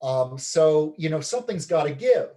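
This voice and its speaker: A man from Canada, a documentary film director, giving a measured, reflective interview with many ums and pauses. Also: fairly high pitch, lively intonation, mellow.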